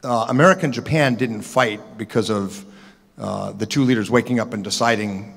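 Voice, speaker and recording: A middle-aged man speaking on a conference panel, talking in a measured, analytical way with frequent ums and uhs into a table microphone. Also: fairly low pitch, creaky. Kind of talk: policy forum talk. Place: large conference hall. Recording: conference hall reverb.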